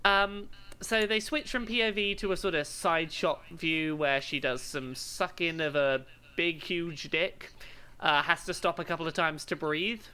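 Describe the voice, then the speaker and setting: A woman's voice: low-pitched, steady tone, measured pace, pushed and bright. A woman co-hosting a podcast, chatting casually in an emphatic, amused way as she gives commentary. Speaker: podcast co-host.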